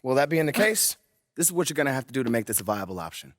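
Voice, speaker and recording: A man's voice: mid-range voice, very animated, very fast, a bit bright, dry recording, studio quality. A man playing a pushy, persuasive sports adviser in a video game, talking emphatically in casual slang. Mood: firm, motivating.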